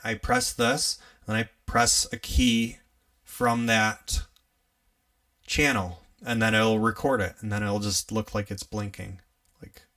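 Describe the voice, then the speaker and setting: A young man with an upstate New York accent, hosting a casual live tutorial on music gear, chatty and relaxed, laughing often as he works. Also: mid-range voice, creaky, far from the mic. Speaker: livestream host teaching music gear.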